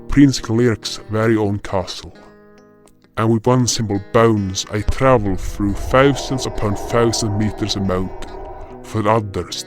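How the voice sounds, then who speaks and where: Man as a low-voiced solemn guardian spirit. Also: close to an everyday voice. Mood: measured, dutiful. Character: solemn, dutiful male guardian spirit telling his tale. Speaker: narrator. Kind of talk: first-person scripted fantasy narration. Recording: guitar music under the voice.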